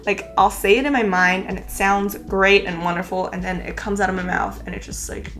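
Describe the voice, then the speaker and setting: A woman's voice: medium pitch, steady tone, brisk, fairly bright tone. Chatty young woman, lots of "like". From California. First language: Cantonese. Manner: chatty, filler-heavy, lots of "like". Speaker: YouTuber. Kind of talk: casual chat answering tag questions.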